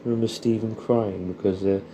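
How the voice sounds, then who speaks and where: A man's voice: lowish voice, fairly even intonation, normal speed, dark tone, a little hiss or hum. A man with an English accent, rambling and sweary in a casual, matey, intimate monologue as he reminisces to an old friend. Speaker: audio storyteller addressing an old friend.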